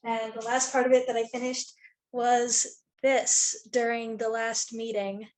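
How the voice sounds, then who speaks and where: Woman in echoey room, fairly even tone. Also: fairly high pitch, a little slow. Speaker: costumed participant. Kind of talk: casual explanation. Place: echoey room, video call. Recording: echoey room over video call.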